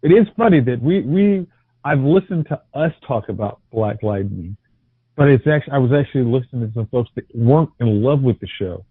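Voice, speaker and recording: A man's voice: mid-range voice, lively intonation, brisk, husky, no echo. A man co-hosting a podcast, chatting casually about TV, often stumbling and restarting his sentences. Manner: hesitant, many restarts and fillers. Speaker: podcast co-host. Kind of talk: casual TV review chat. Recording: tinny phone-like audio.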